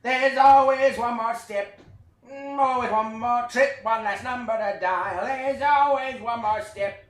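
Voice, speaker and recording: A woman's voice: a little high, unhurried, close to an everyday voice. A woman playing a worldly, breezy scavenger in a theatrical play reading, with a declamatory delivery. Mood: encouraging. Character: worldly, breezy scavenger and wanderer who loves trash. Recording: roomy sound with faint noise.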